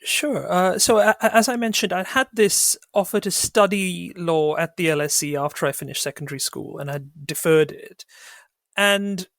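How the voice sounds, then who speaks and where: A middle-aged man from Sri Lanka, a barrister guest telling his career story in a measured, wry way. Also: high voice, a bit bright, no room sound, studio quality. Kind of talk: podcast interview, reflective storytelling.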